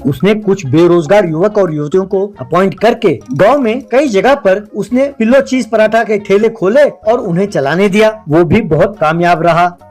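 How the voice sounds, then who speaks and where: Male storyteller for cartoon moral tales, high voice, light music behind. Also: normal speed, hardly any pauses, darkish tone.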